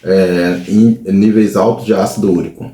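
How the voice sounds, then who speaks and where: A man's voice: low-pitched, steady tone, normal speed, warm tone. A man in his forties with a Brazilian Portuguese accent, giving brief, plain answers about his own health in an interview. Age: 40s. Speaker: interviewee, sales representative. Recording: echoey room.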